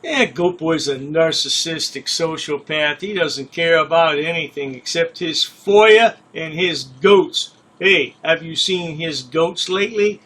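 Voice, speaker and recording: A man's voice: a little high, unhurried, few pauses, a bit bright. A man delivering a taunting, scornful rant full of mocking insults.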